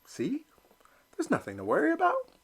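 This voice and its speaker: Brief: man voicing a cheeky storybook duckling boy, high and bouncy. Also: huge pitch swings, normal speed, fairly bright tone, full character voice. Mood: chirpy. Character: cheerful young boy duckling, artsy and sassy.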